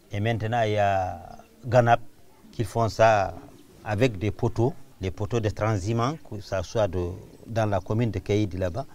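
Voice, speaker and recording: A man's voice: lowish voice, a little mellow. A man explaining local practice in a documentary interview.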